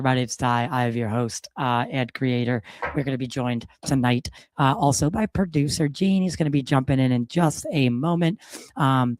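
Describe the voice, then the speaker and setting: A man with a gravelly, slightly hoarse voice, hosting a podcast in loose, casual, swearing chat full of fillers. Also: mid-range voice, no echo. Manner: loose and profane, lots of fillers. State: a bit hoarse from a cold. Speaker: podcast host.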